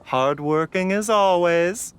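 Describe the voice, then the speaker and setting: A woman voicing a suave, genteel, gently teasing doctor in a game, unhurried. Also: lowish voice, soft background music. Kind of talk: game character voice acting.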